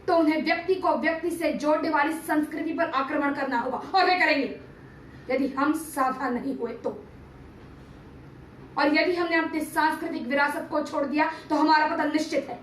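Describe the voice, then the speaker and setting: High-pitched woman, solemn theatrical oratory as a stern ancient statesman, formal diction, roomy distant recording. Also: a bit bright. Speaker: amateur performer reciting a TV serial monologue. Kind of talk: theatrical dramatic monologue, oratory. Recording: roomy, distant mic, faint background noise.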